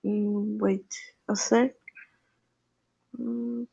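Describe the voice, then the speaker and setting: Lady student on a lo-fi call, slow and gravelly, kinda hesitant. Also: mid-range voice, little pitch movement, dark tone. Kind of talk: hesitant casual replies in an online lesson. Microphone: online call microphone. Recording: dull lo-fi online call audio.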